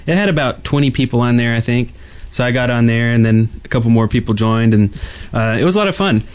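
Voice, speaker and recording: A male podcast co-host with an average-pitched voice. He talks casually and rambles a little, pausing as he hunts for the next thing to say. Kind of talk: casual chat.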